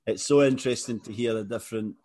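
A man's voice: medium pitch, fast, dark tone. A man hosting an interview podcast, friendly and upbeat, calling his guest mate. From Scotland. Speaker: podcast host, former footballer. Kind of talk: casual interview chat.

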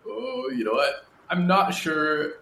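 A man's voice: on the high side, sing-song, slow, bright, forceful tone. A man hosting a conversational podcast interview, talking in a thoughtful, discursive way. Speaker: interview host, horror writer. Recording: roomy echo with background noise.

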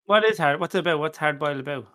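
Male podcast panelist, higher-set sharp voice, excitable banterer who doubles back on his phrases. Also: racing. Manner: excitable, repeats himself. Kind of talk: casual bantering group chat.

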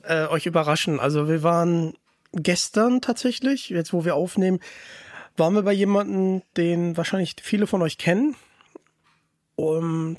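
A man's voice: sits high, a little mellow, no echo, clean studio sound. A man hosting a podcast interview in a casual, chatty way. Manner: informal, chatty.

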